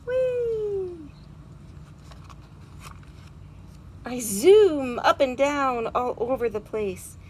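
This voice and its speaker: A woman voicing a small, nervous, excitable baby robin in a dramatic storybook read-aloud. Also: on the high side. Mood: thrilled. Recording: outdoor background noise.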